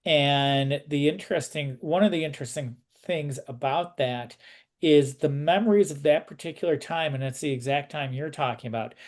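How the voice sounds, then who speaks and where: A man's voice: fairly high pitch, moderate pace. A man conducting a casual interview, rambling thoughtfully on the way to his questions.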